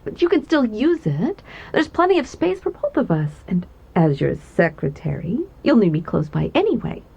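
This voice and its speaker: A woman playing a gentle giantess secretary in an ASMR roleplay, doting and coy, with a soft, breathy voice that often slips toward a whisper. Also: mid-range voice, big pitch swings, normal speed, dull audio, exaggerated voice. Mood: gentle, reassuring. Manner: tender, coy, hesitant, giggly asides. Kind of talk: ASMR roleplay, intimate one-sided conversation.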